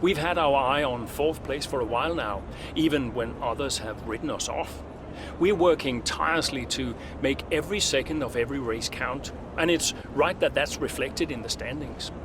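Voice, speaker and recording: An actor playing a middle-aged, focused veteran racing driver near retirement, speaking in a measured, dry way in a video game. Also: male voice, medium pitch, background noise, natural voice. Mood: measured, determined. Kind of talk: cinematic game dialogue, interview answers.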